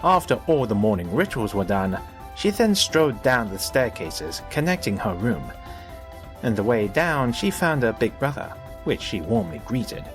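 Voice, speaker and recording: Animated male storybook narrator. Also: mid-range voice, normal speed, faint music behind.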